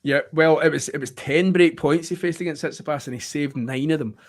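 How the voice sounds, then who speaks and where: A man's voice: fairly high pitch, brisk, dry recording. A Scottish man giving chatty live play-by-play tennis commentary for an online watchalong, with friendly asides to his co-host. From Scotland.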